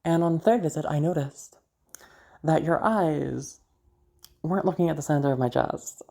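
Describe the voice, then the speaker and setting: A woman playing a crazy, seductive female patient in an audio roleplay, teasing and menacing, with halting, drawn-out phrases that drop toward a hush. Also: sits low, gravelly. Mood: coy, teasing. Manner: teasing, halting, drawn-out words with sudden hushed drops. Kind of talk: audio roleplay monologue addressed to listener.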